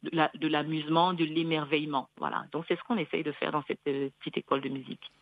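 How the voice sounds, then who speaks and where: Woman singer as radio guest, first language Bassa, thoughtful and halting, dry slightly dull sound. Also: level tone, dry recording. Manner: reflective, hesitant, many fillers and restarts. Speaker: singer and music school founder, radio guest. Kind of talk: radio interview conversation.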